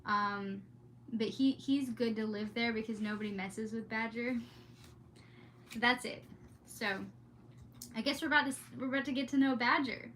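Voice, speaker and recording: A woman's voice: fairly high pitch, steady tone, moderate pace, long pauses, fairly bright tone, slight background noise. A woman streamer chatting in a casual, rambling, exclamatory way, easily sidetracked. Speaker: streamer hosting a read-aloud. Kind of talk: casual rambling vlog chat.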